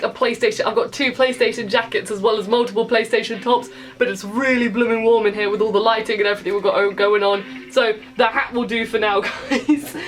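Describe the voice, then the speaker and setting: A British woman hosting a casual, comedic video game review in a chatty, jokey way with playful slang. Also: fairly high pitch.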